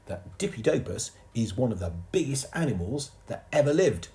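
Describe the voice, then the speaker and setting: A man voicing a small, earnest boy who insists he is right, in a squeaky, indignant tone. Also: wildly swooping pitch, a little slow, warm tone. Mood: insistent.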